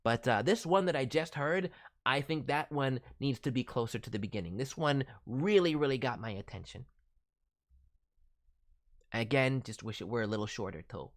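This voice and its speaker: A man's voice: medium pitch, expressive, normal speed. A man speaking as a voice director, giving casual, enthusiastic and encouraging critique. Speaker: voice director reviewing demo reels.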